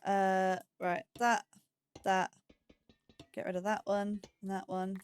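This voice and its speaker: A woman hosting a slow game series, chatting to herself as she builds, with phrasing and startled yelps when danger appears. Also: medium pitch, level tone, clear, resonant tone, no echo, faint music behind. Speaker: let's play host. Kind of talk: casual gameplay commentary.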